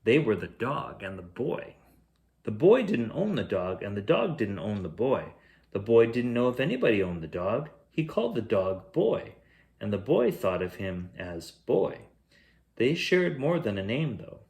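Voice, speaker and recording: A man reading a children's picture book aloud as a bedtime storyteller, in a measured, expressive way. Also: lowish voice. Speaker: bedtime story reader, host.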